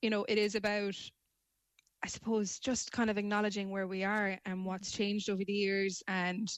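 A woman's voice: medium pitch, flat delivery, moderate pace, clear, resonant tone, no echo. A woman speaking as a panel guest, articulate and reflective, often framing her points as questions. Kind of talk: discussion, opinion.

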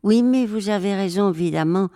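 An older woman, a war photographer, answering interview questions slowly, with many pauses and hesitations. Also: mid-range voice, dark tone. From raised in Spain.

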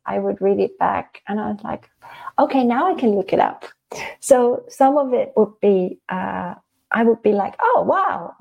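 A woman from the Netherlands, telling personal stories in a casual, animated, halting way as an interview guest. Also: mid-range voice, vocal fry. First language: Dutch. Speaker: interview guest, spiritual channeler. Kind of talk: casual conversational storytelling.

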